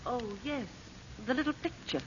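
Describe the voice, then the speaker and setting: Proper lady, lively radio-play voice. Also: high voice, moderate pace, slightly rough. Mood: meek, puzzled. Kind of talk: 1940s radio drama acting. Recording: dull, muffled vintage radio recording.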